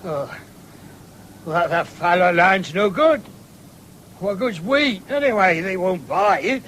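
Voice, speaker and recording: Shambling, high-voiced rural English farmer. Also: male voice, animated, takes it slowly, fairly bright tone, full character voice. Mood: muddled, bitter. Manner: muddled, stalling, repeating himself. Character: shambling hard-drinking farmer husband, evasive and grumbling. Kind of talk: 1970s British radio drama acting. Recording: hissy old radio broadcast recording.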